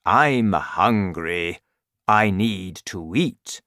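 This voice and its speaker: A man voicing a kind-hearted storybook giant with a slow, emphatic, complaining manner. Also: low voice, lively intonation, bright, forceful tone, no echo, studio quality, full character voice. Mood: earnest. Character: kind-hearted giant who hates his vegetable food.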